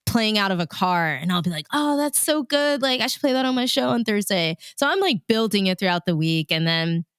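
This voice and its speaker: A woman's voice: medium pitch, expressive, fairly quick, fairly bright tone, smooth, dry recording, studio quality. A woman chatting casually and telling stories in the manner of a radio DJ, animatedly acting out her own thoughts as she goes. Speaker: radio DJ and host, interview guest. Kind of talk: casual conversational storytelling.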